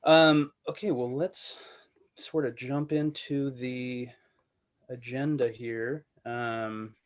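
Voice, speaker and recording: A man's voice: medium pitch, fairly even intonation, takes it slowly. A man hosting an online committee meeting, calling on people in a loose, rambling way. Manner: loose, filler-heavy, with restarts. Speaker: meeting host. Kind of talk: casual meeting moderation.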